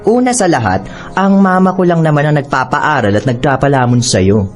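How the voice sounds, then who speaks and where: A woman's voice: low-pitched, expressive, brisk, soft background music. An actress playing a spoiled, mocking young woman who bosses her housemaid cousin in sassy Taglish in a radio drama. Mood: haughty, threatening. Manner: sassy, slangy Taglish with haughty commands.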